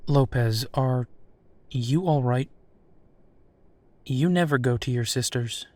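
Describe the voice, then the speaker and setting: Man acting as a wry werewolf detective, casual, hard-boiled, medium pitch, dry close recording, audio drama. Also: takes it slowly, a little mellow, no echo, lightly acted. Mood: concerned. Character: hard-boiled male werewolf private investigator, wry, protective, foul-mouthed.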